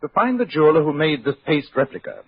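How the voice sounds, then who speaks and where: An actor playing a self-assured English gentleman detective, clipped, precise and authoritative, in 1940s radio style. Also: a little high, lively intonation, fast, breathy edge, no room sound. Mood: decisive. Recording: muffled 1940s radio recording.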